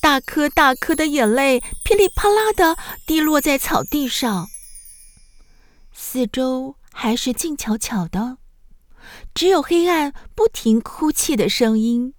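A woman narrating a scripted children's story in an expressive, gentle, dramatic storytelling manner. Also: sits high, slow, no room sound.

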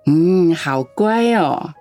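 A woman's voice: on the low side, lively intonation, extremely slow, mellow, no room sound, soft background music. An actress playing a lonely, doting elderly grandmother in a radio drama. She talks in a coaxing, chatty way and coughs now and then. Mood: affectionate. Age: older.

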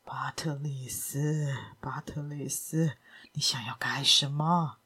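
A spiteful old male villain in a storybook reading, caught and desperate, as a woman narrator acts him out. He drops into breathy pleading whispers and then cold sneers, with a slow, heavily drawn-out delivery. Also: very deep voice, steady tone, fairly bright tone, pitched below the performer's own voice, full character voice.

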